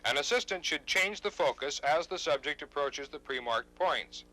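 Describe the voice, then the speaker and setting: Bright-voiced man narrating an instructional tape. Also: a little high, lively intonation, moderate pace, rough recording. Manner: formal, precise, instructional. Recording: 1970s instructional videotape narration.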